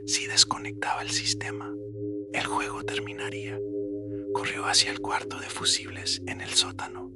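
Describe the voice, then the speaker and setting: A man with a whispery, breathy voice narrating a scripted horror story in a suspenseful storytelling manner. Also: on the low side, completely flat delivery, moderate pace. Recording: loud music bed under the voice. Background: loud atmospheric music.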